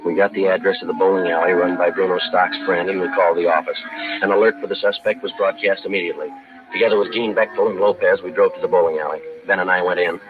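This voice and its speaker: An actor playing a terse, businesslike police detective, with clipped, matter-of-fact delivery in the style of a 1950s radio crime drama. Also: male voice, low-pitched, fairly even intonation, fast talker, narrow, tinny audio, loud music behind the voice, close to an everyday voice. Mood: grave, matter-of-fact. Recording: old 1950s radio broadcast recording.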